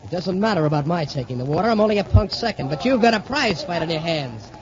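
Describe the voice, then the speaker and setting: Sly high-voiced teenage cadet villain. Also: male voice, sing-song, quick pace. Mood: gloating. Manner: wheedling, sneering. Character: sly, treacherous teenage male cadet, boxing second. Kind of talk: 1940s radio drama acting. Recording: muffled, noisy old radio, crowd behind.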